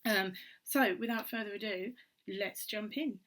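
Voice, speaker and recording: Chatty woman with a bright voice. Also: medium pitch, measured pace. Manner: chatty, many ums and restarts. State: recovering from a cold, slightly hoarse. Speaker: vlogger and author. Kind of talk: casual talk to camera.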